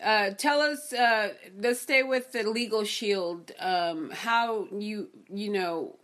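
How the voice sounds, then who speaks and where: A woman's voice: medium pitch, slow pace, fairly bright tone. A woman hosting a video podcast interview in an effusive, encouraging manner. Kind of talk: enthusiastic interview.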